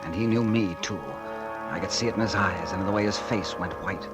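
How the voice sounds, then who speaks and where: An actor playing a bitter, vengeful ex-convict, narrating and speaking in a hard-boiled, brooding 1940s radio drama style. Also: male voice, sits low, fairly quick, natural voice. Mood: grim, intent. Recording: old radio broadcast with loud music behind.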